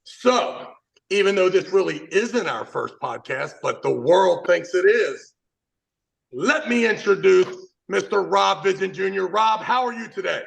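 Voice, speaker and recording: A man's voice: high voice, lively intonation, a little slow, vocal fry, low-quality recording. A man hosting a podcast in a brash, profane, showman-like way. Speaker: podcast host. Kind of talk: casual podcast banter.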